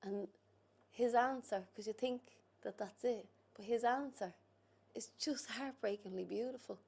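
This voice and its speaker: A woman's voice: on the high side, a little slow, vocal fry, a little mellow, breathy edge. A woman speaking reflectively as a documentary interviewee.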